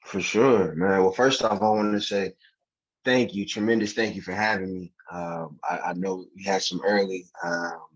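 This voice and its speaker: A young man chatting casually and in slang as a podcast guest, with an animated manner. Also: low-pitched, normal speed. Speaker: podcast guest, filmmaker and actor. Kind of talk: casual chat. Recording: dull, muffled lo-fi audio.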